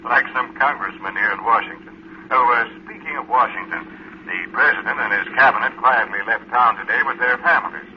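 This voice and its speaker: An actor playing a breezy, reassuring American radio news reporter, chatty and folksy in a vintage broadcast style. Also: male voice, medium pitch, a little fast, lightly acted. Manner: folksy, chatty announcer delivery. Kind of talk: 1950s radio news commentary. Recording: muffled vintage radio, faint hiss.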